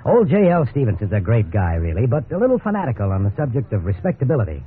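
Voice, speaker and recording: A man's voice: mid-range voice, wildly swooping pitch, fast, breathy edge. An actor playing a breezy, incredulous American salesman husband in a 1950s radio drama, wry and conversational. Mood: wry, confident. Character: cheerful, incredulous suburban husband, an encyclopedia salesman. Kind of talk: 1950s radio drama acting with wry first-person narration. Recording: tinny, hissy 1950s radio recording.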